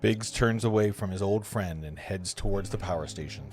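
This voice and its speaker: A man's voice: on the low side, normal speed, a little mellow. A man reading stage directions as narrator at an amateur table read of a film script. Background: music.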